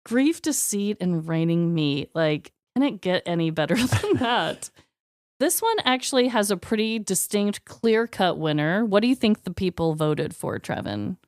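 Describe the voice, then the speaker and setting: Female podcast co-host, very lively intonation, emphatic and informal, swears freely, dry studio-tight sound. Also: mid-range voice, a little slow, no echo. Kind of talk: casual chat.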